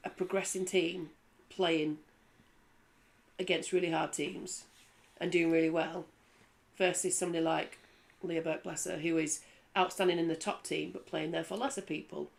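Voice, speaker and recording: Chatty woman, low voice. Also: moderate pace, creaky, pauses often. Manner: chatty, lively, laughs easily. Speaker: rugby league pundit and former player. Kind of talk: casual panel chat.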